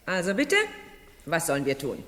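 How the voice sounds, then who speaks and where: A woman's voice: low voice, big pitch swings, moderate pace, fairly bright tone, in a large hall. An actress playing a proud, determined noblewoman and mother in a 1970s German radio play, dramatic and imperious.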